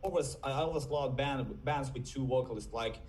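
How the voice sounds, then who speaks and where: A raspy-voiced man from Finland, a band vocalist answering interview questions earnestly while searching for his words. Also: medium pitch, moderate pace, a little mellow, slight background noise.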